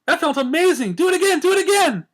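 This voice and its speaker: A man's voice: very high-pitched, big pitch swings, fast talker, a bit bright, voice pushed up, exaggerated voice. A man voicing a bubbly, hyperactive young female pony with a giddy, gushing, sing-song delivery.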